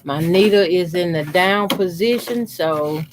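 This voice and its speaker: Quilting guy in his 50s, talks real slow while he sews, keeps his voice down. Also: a little hiss or hum. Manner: talks unhurriedly while sewing, deliberately keeping his voice lowered. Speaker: quilting channel host. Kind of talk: casual how-to demonstration.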